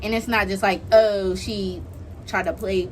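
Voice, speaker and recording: A woman's voice: mid-range voice, steady tone, measured pace, slight background noise. A young adult woman telling a casual, gossipy story in informal slang, acting out what people said. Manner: informal, slangy, gossipy retelling with acted-out quotes. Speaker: online video creator telling a story.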